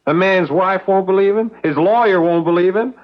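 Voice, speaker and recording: A man acting a hot-headed young rustic in an old radio play. The voice is fairly high with a rural American drawl, plain-spoken and stubborn. The recording is dull and dated. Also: normal speed, natural voice. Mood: indignant. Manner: folksy, plain-spoken, defiant. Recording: dull vintage radio drama sound.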